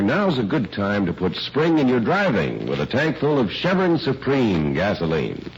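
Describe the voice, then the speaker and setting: A man's voice: mid-range voice, slight background noise. A man announcing in scripted old-time radio style, with polished, emphatic salesmanship. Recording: muffled old radio broadcast. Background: music.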